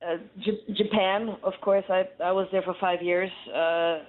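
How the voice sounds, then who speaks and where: A woman, a German native speaker and professional wrestler, chatting as a guest in an animated, playful way with laughs. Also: medium pitch, measured pace. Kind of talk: casual phone interview. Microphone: phone. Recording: tinny phone line.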